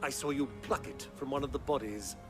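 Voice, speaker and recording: A man's voice: medium pitch, fairly quick, over music, natural voice. An actor playing a theatrical, boastful middle-aged nobleman in a video game cutscene. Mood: haughty. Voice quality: theatrical, hammy. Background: game score.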